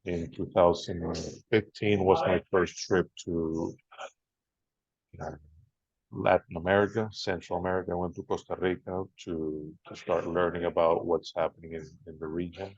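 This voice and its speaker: Male panelist, Venezuelan accent, middle-aged, husky, halting delivery, dull muffled call audio. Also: sits low, flat delivery, darkish tone, no room sound. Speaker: panelist, beer writer. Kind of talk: personal account on a panel.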